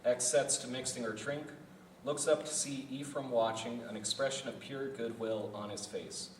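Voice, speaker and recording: A man's voice: medium pitch, steady tone, moderate pace. Echoey, distant male narrator reading a script. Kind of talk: scripted narration at a table read. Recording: echoey room from far off, noisy.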